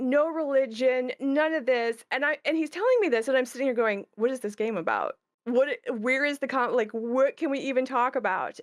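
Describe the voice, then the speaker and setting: A woman with a fairly high-pitched voice speaks as a game designer and co-host. She talks in a conversational way, explaining creative decisions.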